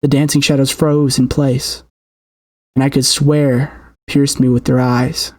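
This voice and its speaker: A man's voice: medium pitch, level tone, unhurried, darkish tone. A man reading a horror game's story aloud with theatrical, suspenseful delivery, as a player narrating.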